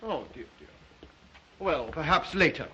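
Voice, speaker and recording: A suave Cossack count in a vintage drama. His Russian-accented voice is highish and bright, swooping theatrically through courtly, ingratiating phrases with a sinister polish. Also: lively intonation, measured pace, muffled recording, a little hiss or hum, full character voice. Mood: smooth, ingratiating.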